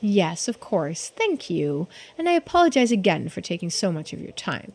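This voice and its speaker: A woman reading a polite, measured and helpful local man in a video game, with formal phrasing. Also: fairly low pitch, sing-song, vocal fry, a little mellow, full character voice. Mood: courteous. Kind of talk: video game character read-aloud.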